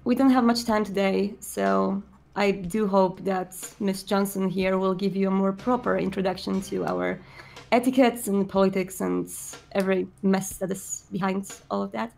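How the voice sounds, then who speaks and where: A woman acts out a composed male vampire prince in a tabletop game. The speech is formal, measured and a little hesitant, with weary courtesy. Faint music plays behind. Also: medium pitch, creaky, lightly acted.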